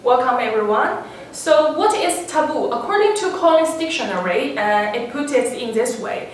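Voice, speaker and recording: A woman hosting a casual panel discussion, chatty and quick to laugh. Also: high-pitched, slight background noise. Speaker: host. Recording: echoey room from far off.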